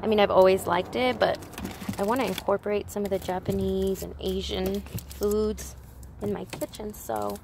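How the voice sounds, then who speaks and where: A woman's voice: medium pitch, fairly even intonation, normal speed, creaky. A woman with a congested, slightly nasal voice, chatting casually through a product haul with rambling asides and self-corrections. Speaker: haul vlogger. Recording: noisy background.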